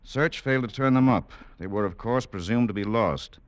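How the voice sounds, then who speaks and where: An actor playing a calm, authoritative American military doctor, speaking formally and measuredly in a vintage radio drama. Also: male voice, on the low side, normal speed, no echo, dull audio, natural voice. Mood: grave narrating. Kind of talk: 1940s-50s radio drama acting, measured narration.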